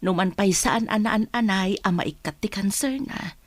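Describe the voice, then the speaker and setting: A woman's voice: medium pitch, unhurried, no echo, close to an everyday voice. An actress in a radio drama playing an elderly mother, anxious and sorrowful over a sick child. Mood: worried. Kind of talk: Ilocano radio drama acting.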